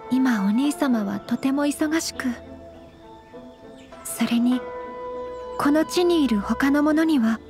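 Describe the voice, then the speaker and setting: Anime game role: earnest young noblewoman, high voice, modest, formal delivery, music underneath. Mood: polite, earnest. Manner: formal, honorific, gently measured. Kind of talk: Japanese anime game voice acting.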